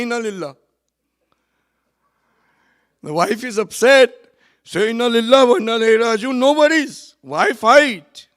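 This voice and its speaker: Man preaching, soft then forceful. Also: high voice. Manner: swings from soft asides to loud emphatic preaching; rapid recitation of Arabic verse. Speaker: religious speaker at a memorial gathering. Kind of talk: sermon. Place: hall at a community centre.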